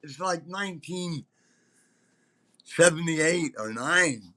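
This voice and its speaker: A man's voice: on the high side, animated, takes it slowly, sharp tone. An older man, likely in his seventies, telling casual anecdotes in a rambling, emphatic, salty-tongued way. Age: older, around 70s. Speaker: podcast host telling stories as a former concert promoter.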